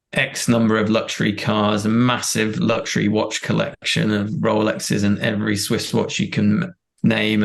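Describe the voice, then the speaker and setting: A man's voice: fairly low pitch, creaky. A man who grew up in Thailand and India, a performance director, talking earnestly and reflectively in a podcast interview, often restarting his sentences.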